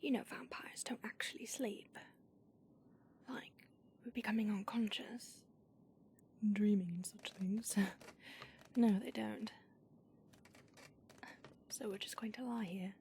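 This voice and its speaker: A woman's voice: medium pitch, steady tone, takes it slowly, long pauses, darkish tone, a little hiss or hum. A woman voicing an aloof, prickly female vampire who is centuries old, speaking in a dry, sardonic whisper for an audio roleplay. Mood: grumbling, soft.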